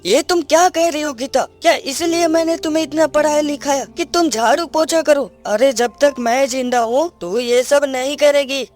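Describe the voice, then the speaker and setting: High-voiced, humble poor brother voiced by a woman. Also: moderate pace, hardly any pauses, darkish tone, faint music behind, lightly acted. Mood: protective. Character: humble, hardworking poor young man, protective brother. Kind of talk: Hindi cartoon moral story dialogue.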